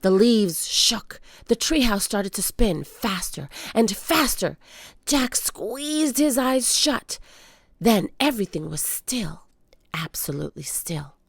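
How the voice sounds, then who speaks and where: A woman reading a children's book aloud as an animated storyteller, with dramatic emphasis. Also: medium pitch, takes it slowly, no echo. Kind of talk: expressive read-aloud storytelling.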